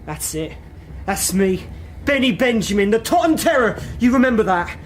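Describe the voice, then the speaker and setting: An actor in a radio drama playing a loud, menacing young hooligan who bullies and boasts in a taunting way. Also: male voice, high-pitched, very animated, moderate pace. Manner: belligerent, taunting, swearing. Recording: crowd noise behind the voice.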